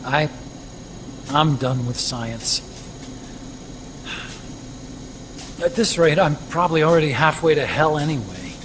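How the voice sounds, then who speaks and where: A man's voice: a little high, animated, normal speed, background noise, close to an everyday voice. An actor playing an earnest, nerdy male scientist in a video game, eager and a little awkward. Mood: dejected. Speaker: video game supporting character. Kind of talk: dramatic video game acting.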